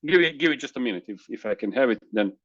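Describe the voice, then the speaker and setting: A man whose first language is Croatian, presenting a software demo in a webinar, halting with restarts and fillers over a video call. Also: medium pitch, expressive, no room sound, dull audio. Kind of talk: screen-share software demo.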